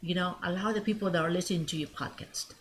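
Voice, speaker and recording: A woman's voice: on the low side, fairly even intonation, rapid-fire. Emphatic middle-aged woman with background noise. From born in Mexico, grew up in Alaska. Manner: emphatic, repeats phrases for effect, asks listeners rhetorical questions. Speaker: guest, business owner. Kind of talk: motivational talk-show conversation. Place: at her home, on a podcast set.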